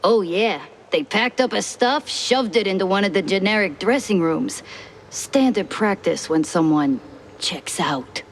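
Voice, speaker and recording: A woman voicing a brash, excitable explosives worker from a film lot in a cartoon noir game, chatty and slangy. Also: medium pitch, measured pace, a little hiss or hum. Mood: eager. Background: music.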